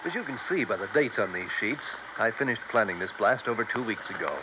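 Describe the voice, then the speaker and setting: An actor playing a gray-haired male explosives expert, precise, formal and quietly confident, in a 1950s radio drama. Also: lowish voice, lively intonation, fast, close to an everyday voice. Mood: calm, confident. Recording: noisy, muffled vintage radio recording.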